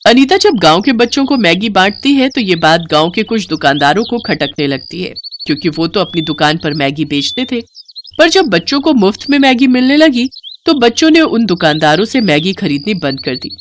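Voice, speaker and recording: A woman's voice: mid-range voice, sing-song, moderate pace, slight background noise. A woman storyteller reading scripted narration of a moral tale.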